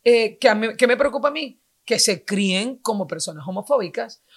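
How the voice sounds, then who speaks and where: A woman's voice: on the high side, expressive, a little fast, breathy edge. A Venezuelan woman in her forties, a guest in a casual chat, talking in an earnest, explanatory way.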